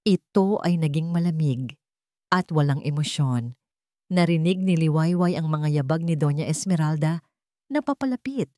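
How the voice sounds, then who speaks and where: A woman's voice: low voice, expressive, moderate pace, warm tone, no room sound, studio quality. A woman narrating a scripted dramatic love story as a storyteller.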